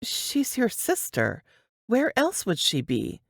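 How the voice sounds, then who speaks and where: A woman's voice: mid-range voice, huge pitch swings, slow pace, creaky, slightly rough, no echo, clean studio sound, voice pushed up, heavily acted. Woman acting an upbeat, lilting caring sister. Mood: relieved, excited. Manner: bright, affectionate, teasing. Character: caring, upbeat foster sister, reassuring her brother.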